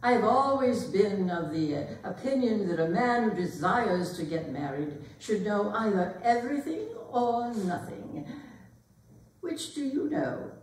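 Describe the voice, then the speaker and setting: A woman's voice: medium pitch, lively intonation, a little slow, a little mellow, natural voice. A woman acting an imperious upper-class mother who pompously interrogates a suitor in a staged comedy reading. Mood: haughty, imperious. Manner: pompous, pronouncing grand opinions. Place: performance hall, recorded from a distance. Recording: roomy echoey hall, distant microphone.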